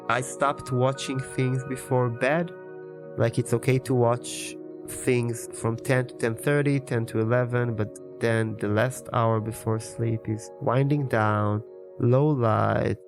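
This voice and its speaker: A man whose first language is Hebrew, in his thirties, talking casually and at length about his daily routine as a podcast host. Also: medium pitch. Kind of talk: casual personal monologue.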